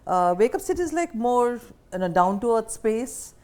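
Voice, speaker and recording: A woman's voice: a little high, sing-song, unhurried, a little mellow. A woman with an Indian accent hosting an interview, asking questions and commenting in a conversational way. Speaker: interviewer, host.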